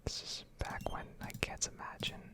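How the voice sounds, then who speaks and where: A woman giving casual, rambling game commentary in a soft ASMR whisper, hesitating as she goes, close to the microphone. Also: moderate pace. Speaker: gaming video host.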